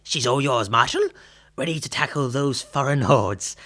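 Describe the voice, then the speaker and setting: A man's voice: medium pitch, moderate pace, a bit bright, lightly acted. A man playing a fussy, bigoted, pompous tailor in a western radio serial, with exaggerated, effusive delivery. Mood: blustering, dismissive.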